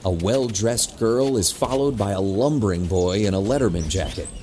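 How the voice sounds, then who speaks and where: A man's voice: lowish voice, normal speed, warm tone, slight background noise, natural voice. A man reading scripted scene narration for an audio drama.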